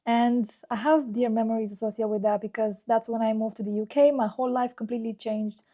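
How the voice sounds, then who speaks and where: Mellow-voiced woman chatting about her life. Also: on the high side, steady tone, fairly quick. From Romania. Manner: chatty and fond, with frequent "like" fillers. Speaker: fragrance YouTuber. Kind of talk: casual personal vlog talk.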